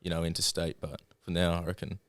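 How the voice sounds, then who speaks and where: A young man joining a casual chat, relaxed and agreeable. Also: sits low, quick pace, no room sound.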